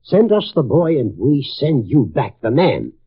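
Pompous, husky-voiced college dean. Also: male voice, mid-range voice, wildly swooping pitch, unhurried, exaggerated voice. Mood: self-satisfied. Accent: American. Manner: pompous, sententious. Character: pompous, self-deceiving college dean, smugly platitudinous. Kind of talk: 1950s radio drama acting. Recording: tinny vintage radio recording.

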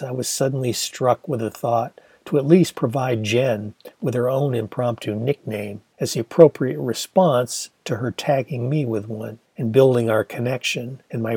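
A man's voice: medium pitch, moderate pace, few pauses, warm tone, breathy edge, dry recording. A man in his sixties from Michigan, reading his own memoir aloud in a wry, conversational storytelling manner. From Michigan, USA. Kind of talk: audiobook narration.

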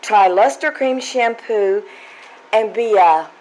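A woman delivering a scripted commercial in old-time radio style, in a medium-pitched voice. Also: slow pace, darkish tone, a little hiss or hum, rough recording. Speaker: commercial announcer.

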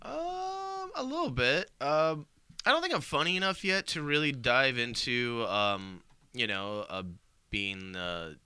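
A young man chats on a podcast in a bright, smooth voice, close to a dry mic. He rambles loosely, swears freely and often laughs mid-sentence. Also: mid-range voice, lively intonation, measured pace, no echo. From Bosnia and Herzegovina. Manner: rambling, profane, laughs often, many restarts. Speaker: comedian guest. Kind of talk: casual podcast chat.

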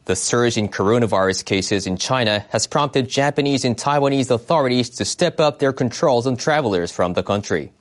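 A man reading scripted international news as a radio newsreader. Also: mid-range voice.

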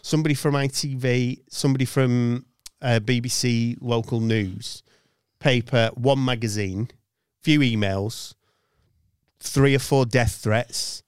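Smooth-voiced middle-aged man telling a story. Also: medium pitch, steady tone, unhurried, no room sound, studio quality. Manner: storytelling, chuckling between points. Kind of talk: casual podcast chat.

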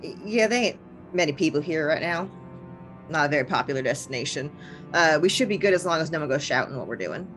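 A woman's voice: deep voice, expressive, quick pace, a bit bright, faint music behind, pitched below the performer's own voice. A woman voicing a brash female military lieutenant with a Texas drawl, blunt and folksy. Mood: wary. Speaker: guest player.

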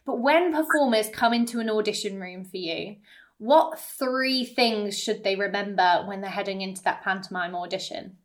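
A woman's voice: medium pitch, moderate pace. A woman hosting a podcast interview in a casual, effusive and encouraging way. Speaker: podcast host, performer.

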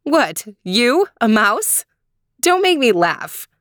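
Woman playing a haughty, scoffing young woman, pitched up. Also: big pitch swings, takes it slowly, fairly bright tone, no room sound, studio quality. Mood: scornful. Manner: scoffing, dismissive. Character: haughty, rude young elder daughter, scornful and proud.

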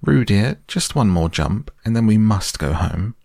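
A man acts as a motherly storybook kangaroo, calm but fussing and half distracted. The voice is lifted above his natural low register, with a dry, close sound. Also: unhurried, gravelly, no echo, exaggerated voice.

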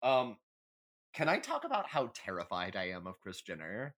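A man's voice: on the low side, huge pitch swings, normal speed, a bit bright. A man co-hosting a casual comedy podcast, chatting in a catty, theatrical and emphatic way with plenty of swearing. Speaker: podcast co-host.

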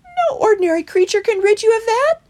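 Woman as an urgent, very high squeaky mouse. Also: big pitch swings, a little fast, a little mellow. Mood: urgent, encouraging.

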